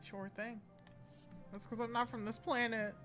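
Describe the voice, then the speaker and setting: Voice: mid-range voice, flat delivery, measured pace, over music. A game streamer giving casual live commentary, informally speaking up as the player character.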